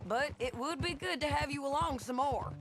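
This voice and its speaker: Tough bossy bounty hunter. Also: female voice, sits high. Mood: dry. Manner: commanding, brisk.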